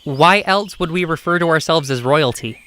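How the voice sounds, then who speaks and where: A woman's voice: low-pitched, moderate pace, fairly bright tone. A woman playing a dry, practical young royal in a comic stage-style audio drama. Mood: practical, brisk.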